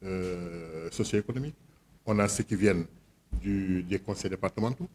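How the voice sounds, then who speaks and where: A man's voice: low-pitched, slight background noise. A man chairing a board meeting, giving a formal address and calling votes. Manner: measured, then loud and directive when calling the vote. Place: meeting hall.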